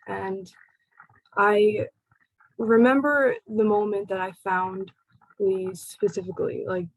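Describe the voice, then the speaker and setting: Young woman, slow and halting personal talk, dry close sound. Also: medium pitch, a little mellow, no echo, low-quality recording. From United States. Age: young adult. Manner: slow, halting, pausing between phrases. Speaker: speaker sharing her personal story. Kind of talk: personal talk to a support group.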